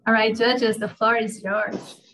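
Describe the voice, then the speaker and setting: Female moderator, slightly high-pitched, running a formal online session over a video call. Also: level tone, measured pace, noisy background.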